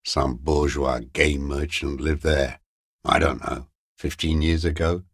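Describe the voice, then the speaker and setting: An actor playing a bitter, paranoid old communist deserter in a video game, with a weary, gravelly voice and a halting, brooding, contemptuous mutter. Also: male voice, extremely low pitch, flat delivery, no room sound, studio quality, full character voice. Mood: brooding, furtive. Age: older. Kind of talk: video game character voice acting.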